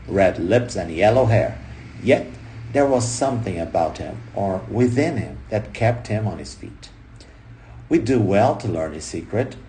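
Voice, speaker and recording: Guy reading an audiobook, lowish voice that jumps around a lot, dramatic pauses, noticeable noise in the background. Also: a little mellow. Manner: punchy short phrases with dramatic pauses and emphasis. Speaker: audiobook reader. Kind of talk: expressive, dramatized book narration.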